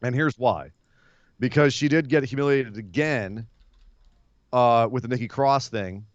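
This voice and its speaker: A slightly hoarse man co-hosting a casual podcast, talking in an animated, opinionated way and laughing easily. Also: mid-range voice, dry recording. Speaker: podcast co-host. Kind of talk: casual wrestling podcast chat. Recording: muffled, dry remote podcast audio.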